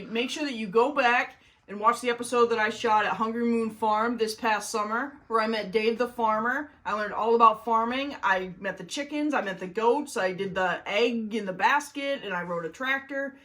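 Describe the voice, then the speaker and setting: A woman's voice: a little high, moderate pace, fairly bright tone. A woman hosting a children's story-time show, talking to kids in a playful, enthusiastic way. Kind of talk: children's book reading and review.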